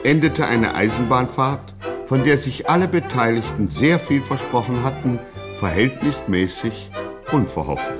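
Ein Mann erzählt als Sprecher eines Hörspiels förmlich, ironisch und mit der Geste eines Conférenciers. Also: male voice, medium pitch, expressive, a little slow. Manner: formal, showman-like, tongue-in-cheek. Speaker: radio play narrator. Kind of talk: scripted, ironic narration. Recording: music playing under the narration.

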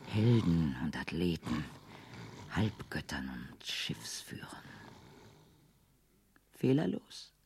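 An actress in a radio play playing a weary housebound wife who is wistful and coy, with a breathy voice that often drops to a whisper. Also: female voice, extremely low pitch, sing-song, slow pace, pauses often, darkish tone, slight background noise, full character voice. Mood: bitter, wistful. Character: housebound, weary wife daydreaming of courtship and adventure. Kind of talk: radio play acting, intimate monologue and dialogue.

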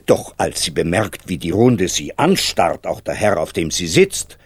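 A man's voice: low-pitched, animated, a little slow, slightly rough, full character voice. A man narrating a radio fairy tale as a theatrical, lyrical, wistful storyteller who lingers on his phrases. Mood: wry, vivid.

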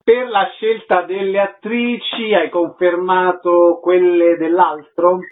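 A man's voice: high voice, fairly even intonation, takes it slowly. A man hosting a radio interview, presenting from a script and putting short questions. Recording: slightly dull radio recording.

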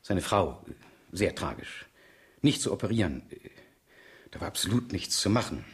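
An actor playing a courteous police superintendent in a 1970s radio crime drama, formal and brisk but awkward on delicate matters. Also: male voice, low voice, very animated. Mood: awkward, confiding.

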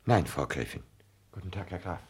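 Calculating, servile secretary for 1960s radio drama: extremely deep, gravelly, formal, on a slightly noisy old recording. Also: male voice, lively intonation. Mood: deferential, formal. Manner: formal, deliberate, deferential diction. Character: obsequious male private secretary, secretly calculating and love-struck. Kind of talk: 1960s German radio play acting.

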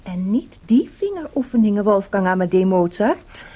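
A woman acting in a Dutch radio play as a coy, affectionate married piano pupil, playful and gently coaxing. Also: mid-range voice, sing-song, normal speed.

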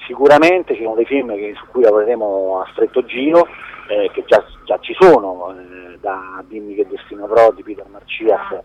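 A man speaks as a guest in a radio interview, his voice fairly low and heard over a dull, lo-fi line. He talks conversationally and haltingly, doubling words and letting sentences trail away. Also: expressive. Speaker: film producer, interview guest.

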